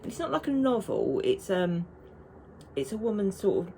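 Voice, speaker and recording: A woman's voice: medium pitch, very animated, normal speed, gravelly, warm tone, slight background noise. A woman, chatting casually and rambling to camera as a knitting vlogger. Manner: chatty and rambling, with frequent restarts.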